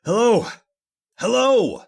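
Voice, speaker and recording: A man acts out a stubborn, commanding gentleman from an old-time radio horror play. His pitch swings widely as he gives impatient orders in formal, old-fashioned phrases. He sounds stern and fully in charge. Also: very animated, slow pace, creaky, full character voice. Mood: alarmed. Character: Mr. Winton, stern, stubborn master leading a haunted-house search.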